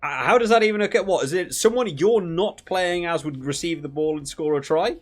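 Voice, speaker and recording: A man's voice: fairly high pitch, fairly quick. A man hosting a video game review with casual, rambling, conversational commentary.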